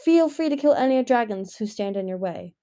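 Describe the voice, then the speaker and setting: A woman voicing a cold, menacing male dragon king who growls his lines slowly. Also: on the high side, animated, dark tone, lightly acted. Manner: growling, clipped, icy.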